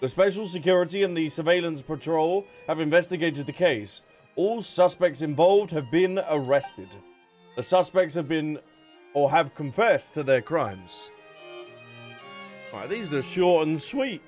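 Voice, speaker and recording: Higher-pitched male game streamer over music. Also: animated, measured pace, a little mellow. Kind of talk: casual livestream commentary, reading game text aloud.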